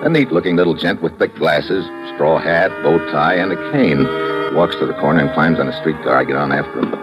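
A man's voice: a bass voice, fairly quick, loud music behind the voice. A man playing a tough, hard-boiled American agent and narrator in a 1950s radio drama, with clipped delivery. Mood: terse narrating. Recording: dull, very noisy vintage radio recording.

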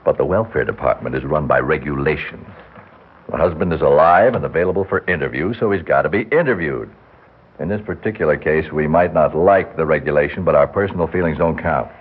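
An actor playing a firm, by-the-book American welfare supervisor, measured and authoritative, in a 1960s radio drama. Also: male voice, rumbling low, slightly rough, phone-quality sound. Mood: firm, reasoning.

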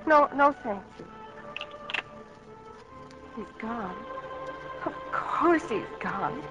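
An actress playing an earnest young farm daughter in love, in a 1940s radio drama. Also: female voice, on the high side, expressive, drawn-out words, dull audio, loud music behind the voice. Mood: anxious, heartbroken.

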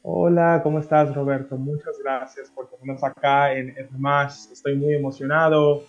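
A man from the Dominican Republic talks about his music in a chatty, rambling way, full of fillers and restarts. His voice sits in a middle pitch. The audio is dull and lo-fi, like a remote call. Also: little pitch movement, normal speed. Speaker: singer guest. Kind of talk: interview answers.

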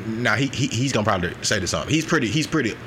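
A man's voice: fairly low pitch, expressive, very fast, background noise. A young man of Dominican heritage co-hosting a casual podcast, talking loose and slangy, breaking into off-key sung snippets. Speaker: podcast co-host.

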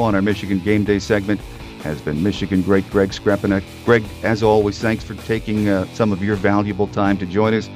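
A man's voice: on the low side, darkish tone. A man hosting a sports podcast, introducing segments and guests in a conversational style.

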